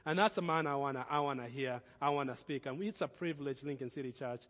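A man, the host pastor, introducing the guest speaker, speaking haltingly with many restarts. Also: medium pitch, fairly bright tone. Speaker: pastor hosting the service. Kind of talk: church introduction. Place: church sanctuary. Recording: long reverb of a church hall.

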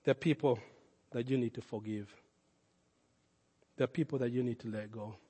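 A man with a Kenyan accent, a pastor giving a sermon, swinging from loud, emphatic calls to low, earnest asides. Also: steady tone, moderate pace, husky, big-room sound. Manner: impassioned preaching, rising loud then dropping low and intimate.

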